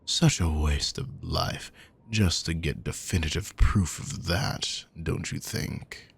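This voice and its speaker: A man performs an aristocratic male villain in an intimate roleplay audio. The voice sits very deep, with a heavy creak and a raspy, breathy edge. He is possessive and quietly menacing, addressing the listener with condescending, darkly sardonic courtesy. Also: slow, exaggerated voice. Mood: coldly sardonic.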